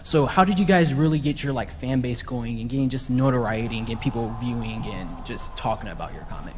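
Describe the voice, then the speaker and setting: A man's voice: mid-range voice, a little fast, a little mellow. A man casually asking a question as an audience member at a hall microphone. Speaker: audience questioner, graphic designer. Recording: reverberant hall, faint noise.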